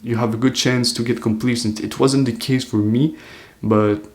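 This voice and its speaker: A man's voice: mid-range voice, steady tone, normal speed. An eighteen-year-old man talking to camera in an earnest, loose, reflective monologue, full of "like" fillers. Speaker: self-improvement vlogger.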